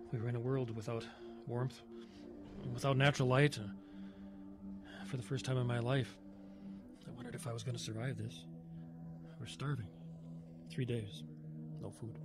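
An actor playing a weathered man solemnly recounting a grim survival tale in halting, folksy, clipped sentences for a radio horror drama. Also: mid-range voice, natural voice. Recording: roomy, clear background noise and ambience.

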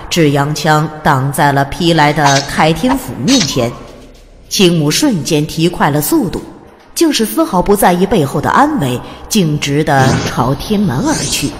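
A woman's voice: sits low, a little hiss or hum. A woman narrating a fantasy audiobook in a dramatic, scripted storytelling style. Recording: long reverb over music. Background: music.

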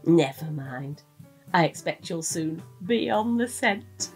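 Chatty british wife in a radio play, middle aged, really animated pitch. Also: female voice, slow pace, soft background music, full character voice. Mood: curious. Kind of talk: naturalistic audio drama dialogue.

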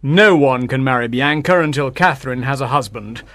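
A man's voice: mid-range voice, moderate pace, fairly bright tone, no echo, clean studio sound, natural voice. A man playing a stubborn, authoritative father in acted audiobook dialogue. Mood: resolute. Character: firm, stubborn father of two daughters.

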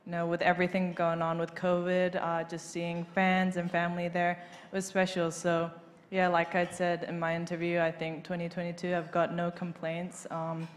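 Young woman on a stage chatting into a handheld mic, low-ish flat voice, big hall reverb. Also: moderate pace. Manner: relaxed, many fillers, light laughs. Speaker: golfer being interviewed on stage. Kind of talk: casual interview. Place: large banquet hall stage. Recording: reverberant hall, faint noise.

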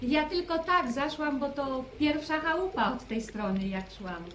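An actress playing a plain-spoken, unafraid young village woman in an old radio drama, telling her tale in a brisk, indignant way. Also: high voice, fairly bright tone, reverberant, slight background noise. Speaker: young woman caught in a storm. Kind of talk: 1970s radio drama acting.

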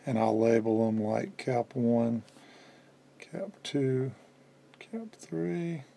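A man in his fifties giving a casual hands-on demonstration at a workbench indoors, explaining in a halting way as he thinks aloud. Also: mid-range voice, creaky, warm tone, a little hiss or hum. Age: 50s. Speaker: electronics restorer and presenter.

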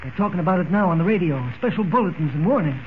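Through a tinny, hissing old radio recording comes an armed country fellow, high-voiced and alarmed. He warns in a folksy, rough-grammared drawl, in vintage radio drama manner. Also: animated, racing. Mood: wary, insistent. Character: rustic, armed, alarmed country man warning travelers. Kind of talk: 1940s radio drama acting.

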